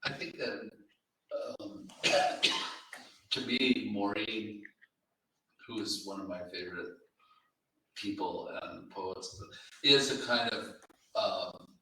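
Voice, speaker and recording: Slow, bright-voiced man in echoey room. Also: fairly low pitch, slight background noise. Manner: halting, deliberate. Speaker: literary event speaker. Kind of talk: unscripted literary commentary. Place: reading room at a live literary event. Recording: very echoey room, distant microphone.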